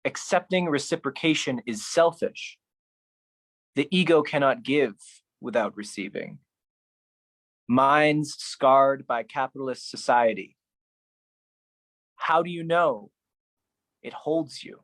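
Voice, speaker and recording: Guy performance artist, spoken word style, slow n deliberate, medium pitch. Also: expressive, fairly bright tone. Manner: slow, deliberate delivery with pauses. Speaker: solo performance artist, writer. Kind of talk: spoken-word performance of own poetic monologues.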